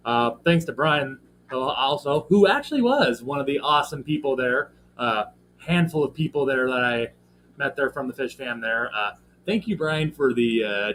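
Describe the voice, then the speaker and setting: A man with a slightly scratchy voice, hosting a casual livestream and talking in a rambling way with lots of fillers while greeting viewers in the chat. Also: medium pitch. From American Midwest. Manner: rambling, filler-heavy, friendly shout-outs to chat. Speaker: livestream host. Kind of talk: casual live stream chat.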